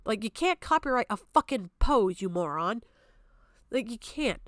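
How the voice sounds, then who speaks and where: A woman's voice: on the high side, animated, normal speed, a bit bright, no echo, studio quality. A woman giving off-the-cuff, argumentative stream commentary in a blunt, exasperated, sweary manner. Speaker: streamer reacting to a video.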